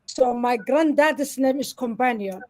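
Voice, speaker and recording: High-voiced woman on a video call. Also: a little slow, darkish tone. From Somalia. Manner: earnest, explains patiently with many "you know" fillers. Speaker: interview guest. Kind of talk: live online interview.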